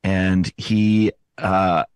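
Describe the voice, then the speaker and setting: A man giving casual, conversational interview answers, often pausing and correcting himself as he finds his words. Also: deep voice, level tone, smooth, no room sound. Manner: hesitant phrasing, self-correcting, chatty. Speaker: podcast host appearing as interview guest.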